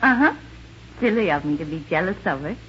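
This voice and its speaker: A woman's voice: lowish voice, lively intonation, a little fast, natural voice. An actress playing a spirited, romantic young lady in a 1940s radio comedy. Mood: tender. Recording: muffled, hissy 1940s radio recording.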